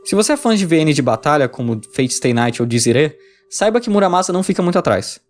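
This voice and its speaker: A Brazilian man delivers a scripted review at a very quick pace. His voice sits a little high for a man, and faint music plays along with it. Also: big pitch swings. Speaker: visual novel reviewer. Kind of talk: scripted video review narration.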